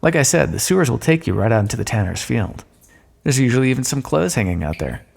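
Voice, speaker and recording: A man playing a smug, sardonic thief in an audio roleplay, speaking with mocking mock-courtly politeness and drawn-out emphasis in a long echo. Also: medium pitch, big pitch swings, vocal fry, slightly rough, exaggerated voice. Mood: boastful, sly. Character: smug, sardonic male thief, gloating at a fallen monarch. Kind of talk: scripted audio roleplay, theatrical monologue. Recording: long echoing reverb.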